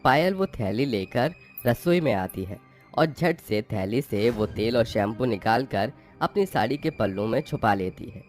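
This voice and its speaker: A man's voice: medium pitch, expressive, a little slow. A man narrating a scripted moral tale as its storyteller. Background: faint music.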